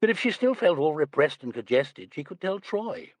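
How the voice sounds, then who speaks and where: A man's voice: a little high, big pitch swings, normal speed, dry recording, pitched above the performer's own voice. A man doing Valmay Seacliff, an airy self-assured beauty. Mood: amused. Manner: airy, amused. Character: languid, self-assured glamorous young woman.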